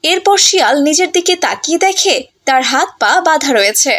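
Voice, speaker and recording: High-voiced, expressive woman narrator. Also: fairly quick. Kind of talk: scripted children's story narration.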